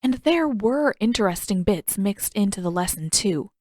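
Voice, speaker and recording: A woman narrates fiction in the manner of an audiobook, reading from a script. Her voice sits at a middle pitch. The recording is dry, with hardly any room sound around her. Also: animated, normal speed, a little mellow, dry recording.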